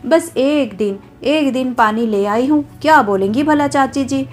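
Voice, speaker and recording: A woman's voice: sits high, measured pace. Woman playing a polite young village bride. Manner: soft, polite, deferential.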